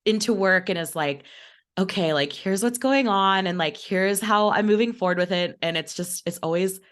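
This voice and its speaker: A woman's voice: medium pitch, normal speed, fairly bright tone. A young woman chatting casually as co-host, upbeat and filling her talk with "like", a little sick. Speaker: operations manager and co-host. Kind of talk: casual chat.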